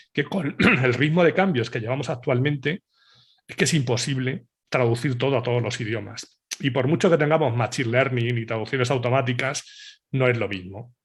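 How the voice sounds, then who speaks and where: Spanish guy hosting a podcast chat, laid back, mid pitch, lots of little ujús while the guest talks. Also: slightly rough. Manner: relaxed, conversational, frequent "ujú" backchannels. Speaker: podcast host. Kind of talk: casual interview chat.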